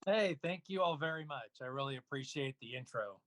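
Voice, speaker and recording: Male guest performer, mid-pitched, casual live-event talk. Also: animated, fairly quick, fairly bright tone. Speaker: guest performer, actor and audiobook narrator.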